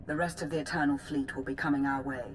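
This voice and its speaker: A pragmatic officer in a video game. She has a low voice and a dry, businesslike delivery, with clear rumbling noise behind her. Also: fairly even intonation, quick pace. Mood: cool, pragmatic.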